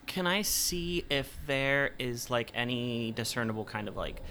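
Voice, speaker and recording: Man, medium pitch, tabletop game player, casual questions and banter, noticeable ambient noise. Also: animated, a little slow, pushed and bright.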